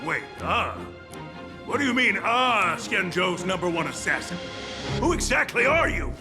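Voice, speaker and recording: Brash, high-voiced gang tough. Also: male voice, big pitch swings, unhurried, music in the background, heavily acted. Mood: baffled. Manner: blustering, dramatic. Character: brash male gang underling, hot-headed and honour-bound. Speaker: gang member. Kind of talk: video game voice acting.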